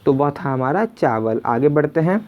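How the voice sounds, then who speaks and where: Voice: mid-range voice, animated, moderate pace. Exam tutor with dull, lo-fi sound. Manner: repeats each question before answering, addresses listeners as friends. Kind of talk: question-and-answer exam lesson narration.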